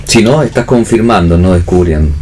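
A man's voice: deep voice, animated, brisk, noisy background. An Argentine man reflecting aloud in an unscripted discussion, searching for words and often checking with tag questions. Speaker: theatre director and actor, panel guest.

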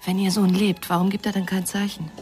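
A woman's voice: medium pitch, level tone, brisk, a little mellow, soft background music, close to an everyday voice. A woman acting in a German radio crime drama, playing an earnest, probing woman who feels left behind.

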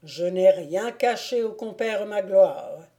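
A woman's voice: mid-range voice, expressive, slow pace, dark tone. A woman voicing a courtly, self-assured male lord who spins tales, in a storybook reading. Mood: sly, unruffled.

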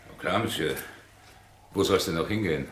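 A man's voice: low voice, normal speed, natural voice. A man acting an obliging, chatty country innkeeper in a 1960s German radio play. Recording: roomy, noisy scene with ambience.

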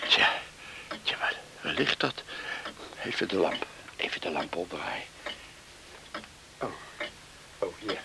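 Gruff, proud farmer, radio drama: very low, slow, halting and terse. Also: male voice, lively intonation, noisy background, exaggerated voice. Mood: anxious, halting. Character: stubborn, embittered farmer father, gruff and proud. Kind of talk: Dutch radio play acting.

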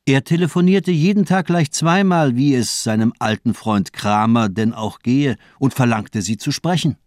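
A man's voice: mid-range voice, moderate pace, a little mellow, dry recording, studio quality, natural voice. An actor playing a sinister, cultivated doctor in a radio crime drama, eloquent and mockingly polite as he toys with his captive. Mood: probing, superior.

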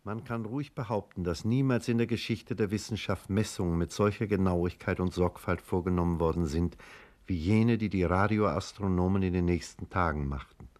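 An actor playing a grave scientist who recounts his eyewitness record, with measured, solemn, precise diction in a 1950s German radio drama. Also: low-pitched, darkish tone, close to an everyday voice. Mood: grave, suspenseful. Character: grave male scientist-doctor reading his written eyewitness account.

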